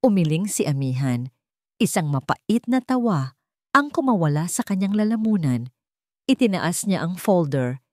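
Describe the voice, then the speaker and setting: Lower-pitched woman narrating romantic drama, dry sound. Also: very animated, normal speed, a little mellow, no room sound. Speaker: story narrator.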